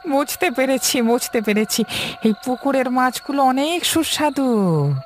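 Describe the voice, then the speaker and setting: A woman voicing a sly, calm male fish-eating ghost in a cartoon horror story. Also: sits high, unhurried, slight background noise.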